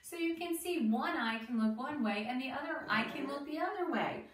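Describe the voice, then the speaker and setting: A woman reading a children's book aloud like a teacher, expressive and deliberate, pausing for asides and questions to young listeners. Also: high-pitched. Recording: roomy, distant mic with faint noise.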